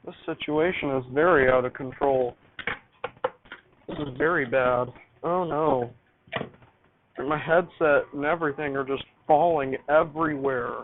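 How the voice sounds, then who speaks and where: A man talking casually and off the cuff as he fiddles with gear, muttering to himself and repeating his phrases. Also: a little high. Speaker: amateur vlogger showing home radio studio. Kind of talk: casual improvised talk while troubleshooting gear. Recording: tinny phone-like band, hissy background noise.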